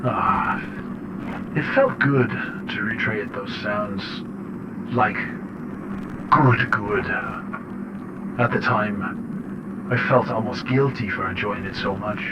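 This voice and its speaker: A man playing an unsettled father who records a horror audio diary, speaking in deliberate, reflective and halting phrases. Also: lowish voice. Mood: fascinated, reflective. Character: unsettled father recording eerie journal notes. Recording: muffled, noisy radio-like audio log.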